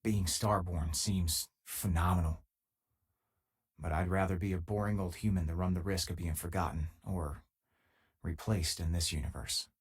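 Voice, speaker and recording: Husky, easygoing space crewmate. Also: male voice, low-pitched, steady tone, moderate pace. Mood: casual, friendly. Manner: casual, conversational, dryly joking. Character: easygoing, wry male spacefaring crewmate, loyal and self-deprecating. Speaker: game companion character. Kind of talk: video game voice lines, naturalistic acting.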